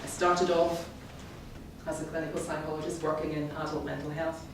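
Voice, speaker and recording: A woman's voice: deep voice, little pitch movement, moderate pace. Echoey, distant woman speaker. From Scotland, born in the Highlands. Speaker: club member giving a first speech. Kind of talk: prepared public speech. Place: indoor meeting room, in front of an audience. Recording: very echoey room, distant mic, clearly noisy.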